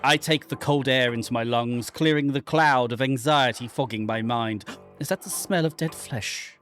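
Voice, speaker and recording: A man's voice: medium pitch, fairly bright tone, soft background music, lightly acted. Man playing a brooding, haunted man of the wilderness. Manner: dramatic, literary, deliberate. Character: anguished guilt-ridden man fleeing into the wilderness, brooding. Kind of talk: dramatic reading of a horror visual novel.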